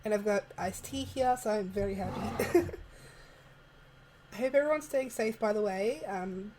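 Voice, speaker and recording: A woman, chatting softly and laughing often while narrating a game build, her voice a little worn. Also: mid-range voice, a little hiss or hum, rough recording. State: losing her voice. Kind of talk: casual voice-over chat. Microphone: home desk microphone, moved closer partway.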